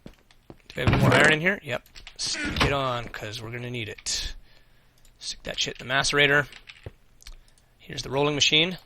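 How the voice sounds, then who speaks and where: A man's voice: mid-range voice, normal speed, long pauses, background noise. A man losing his voice, hosting a let's play and thinking aloud with casual swearing as he plays. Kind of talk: casual gameplay commentary.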